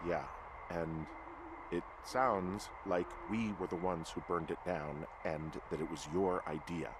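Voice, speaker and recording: An earnest American fire lookout in a naturalistic video game. His voice sits on the low side, conversational and a little halting, with dry humor. Clear ambient noise runs behind him. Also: steady tone. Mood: earnest, reassuring.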